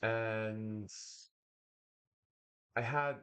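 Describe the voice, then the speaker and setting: Young male streamer, low-pitched, Bulgarian first language, slow and hesitant rambling commentary. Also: steady tone, smooth, dull audio. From United States. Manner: slow, hesitant, wordy monologue with pauses. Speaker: reaction streamer. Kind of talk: casual commentary.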